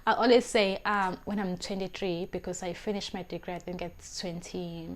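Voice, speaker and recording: A woman's voice: lowish voice, fairly even intonation, moderate pace. A South African woman in her twenties giving life advice to camera, earnest and emphatic, often repeating words as she searches for the next one, on a lapel mic in a small room. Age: 20s. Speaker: vlogger. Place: small indoor room, plain wall.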